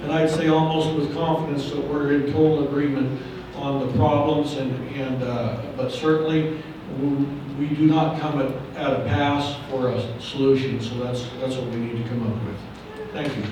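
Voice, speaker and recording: A man's voice: mid-range voice, fairly even intonation, normal speed, hardly any pauses, noisy background. An older man speaking as a panelist at a conference, earnest and courteous in his remarks. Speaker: panelist, cattle association representative. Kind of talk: formal panel introduction. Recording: echoey hall, far from the mic.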